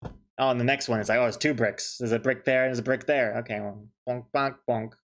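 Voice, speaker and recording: A man's voice: mid-range voice, steady tone, brisk. A young man chatting casually on a stream, joking and thanking viewers as he goes. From New Zealand. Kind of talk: casual chat while drawing on stream.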